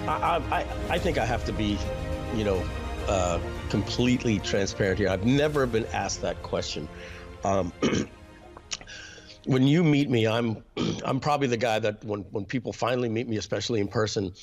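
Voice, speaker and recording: Throat-clearing New man, low voice. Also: lively intonation, moderate pace, music in the background. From New York. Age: 50s. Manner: hesitant, frequent throat clearing and fillers. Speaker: interview guest. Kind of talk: personal storytelling in an interview.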